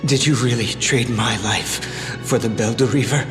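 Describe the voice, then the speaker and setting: An actor playing a desperate, betrayed young knight in a fantasy game, faltering and pleading dramatically. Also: male voice, mid-range voice, fairly even intonation, moderate pace, lightly acted. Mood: anguished, pleading. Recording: echoing hall, music behind.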